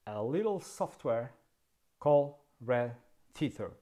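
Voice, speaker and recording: A man in his fifties with a Portuguese accent, a native Portuguese speaker, explaining camera gear in a dry, sarcastic way, seated in a dark studio. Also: mid-range voice, sing-song, slow. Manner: wry, sarcastic, asks himself questions. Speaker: camera video host. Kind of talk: casual tech explainer.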